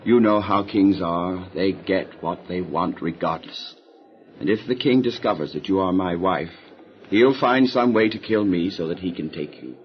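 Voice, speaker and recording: An actor playing a devout, worried biblical patriarch and husband in a dramatized Bible audio drama. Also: male voice, deep voice, normal speed, lo-fi sound, slight background noise, lightly acted. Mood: anxious, earnest.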